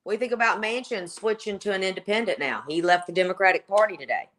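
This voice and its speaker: A woman's voice: medium pitch, fast talker, fairly bright tone, a little hiss or hum. A woman guest on a casual political call-in show, blunt and emphatic, counting off her points.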